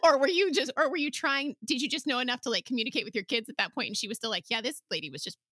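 A woman hosting a casual podcast interview, telling stories in an animated, informal way. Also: sits high, rapid-fire, dry recording, studio quality. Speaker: podcast host; homeschooling parent.

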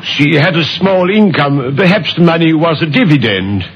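A man playing a distraught, flustered brother in a 1950s radio drama, speaking in a halting, agitated way. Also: on the high side, animated. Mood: shaken. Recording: dull old radio recording, faint noise.

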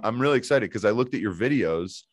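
A man's voice: fairly low pitch, expressive, fast, no room sound, studio quality. A man hosting a podcast in easygoing, enthusiastic interview chat with frequent restarts. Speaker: podcast host.

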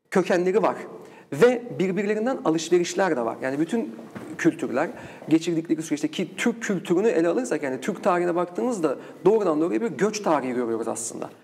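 A man's voice: fairly high pitch, darkish tone. A man speaking as an interview guest and researcher, explaining in a discursive academic way with rhetorical questions.

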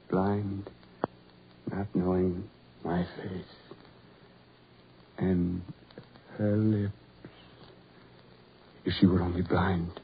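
An actor playing a lonely, self-loathing ugly farm labourer in a 1940s radio horror drama, with halting, repetitive, brooding delivery. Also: male voice, sits low, a little hiss or hum. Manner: halting, repetitive, brooding, building to anguished outbursts. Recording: muffled, tinny 1940s radio recording.

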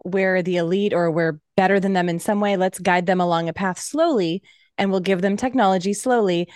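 A woman's voice: fairly low pitch, darkish tone, dry recording, clean studio sound. A woman talking casually in a podcast discussion, spinning out speculative ideas.

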